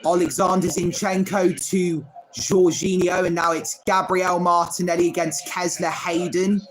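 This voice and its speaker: A man's voice: sits high, level tone, measured pace, music in the background, low-quality recording. A man hosting a live football watchalong stream, calling each pass by player name and chatting with viewers between plays. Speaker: livestream host.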